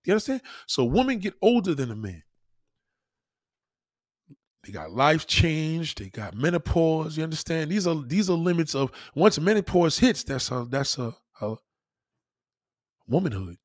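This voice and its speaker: A man's voice: fairly high pitch, very animated, moderate pace, long pauses, no echo, clean studio sound. A man giving a blunt, profane opinion monologue in a casual, lecturing way, repeating points for emphasis. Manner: emphatic, repeats himself for stress.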